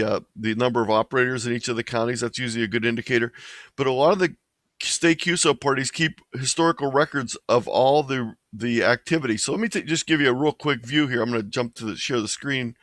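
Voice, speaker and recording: Male webinar presenter, mid-pitched, informal and explanatory, very little room sound. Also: a bit bright, no room sound. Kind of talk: informal instructional webinar talk. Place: indoors, online webinar.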